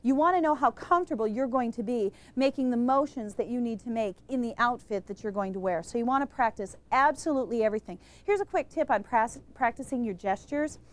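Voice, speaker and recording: Woman, high voice, public speaking instructor, emphatic, asks the room questions. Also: brisk, few pauses. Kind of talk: instructional talk to a live group. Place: room with a group present.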